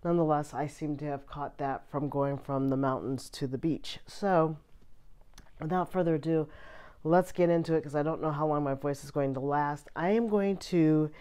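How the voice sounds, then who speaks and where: A woman's voice: sits low, fairly even intonation, moderate pace, mellow, clear, resonant tone. A woman hosting a fountain pen vlog at her desk, chatty and full of ums, her voice still a bit off from a cold. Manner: chatty, rambling with many ums. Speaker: fountain pen channel host. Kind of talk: casual vlog chat. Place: at a desk indoors.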